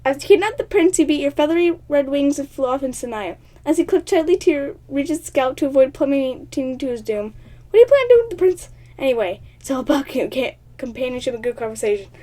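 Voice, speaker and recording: Brief: high-voiced woman reading a game aloud, chatty and excitable, stumbles over words, laughs at herself. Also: quick pace. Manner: laughs often, talks at herself. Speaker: gaming channel host.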